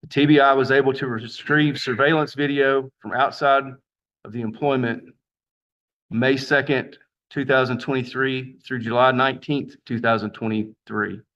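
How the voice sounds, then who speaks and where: Guy prosecutor reading out case facts in court, measured and formal, mid pitch, kinda dull lofi sound. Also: fairly bright tone.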